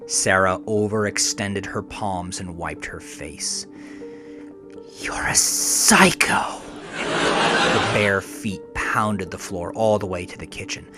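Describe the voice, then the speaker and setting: A man reads a scripted dark story in a low voice. The delivery is intense and theatrical, ranging from hushed to forceful. Music plays clearly behind the narration. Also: animated, takes it slowly.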